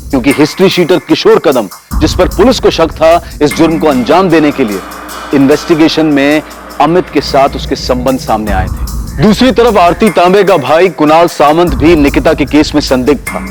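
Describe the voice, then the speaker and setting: An actor as a grave narrator, giving dramatic scripted narration for a TV crime show. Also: male voice, fairly high pitch, expressive, normal speed, lightly acted. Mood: grave, suspenseful. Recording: loud dramatic music behind.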